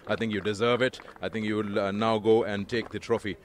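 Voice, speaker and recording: A man's voice: fairly low pitch, flat delivery, a little fast, slight background noise. A male presenter interviewing a winning captain and signing off the broadcast at an outdoor cricket ground. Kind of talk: post-match interview and sign-off.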